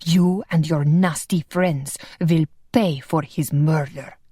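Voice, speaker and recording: Woman voicing a cruel male vampire villain, low, slow, gloating, storybook audiobook. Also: fairly even intonation, warm tone, slightly rough, no room sound, studio quality, heavily acted. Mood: menacing.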